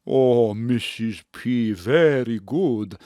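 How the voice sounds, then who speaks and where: A man's voice: mid-range voice, very slow, mellow, no echo, studio quality. A man playing a flirtatious Greek landlord and fish fryer in a comic audio drama, with a Greek accent and showy broken English. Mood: confiding.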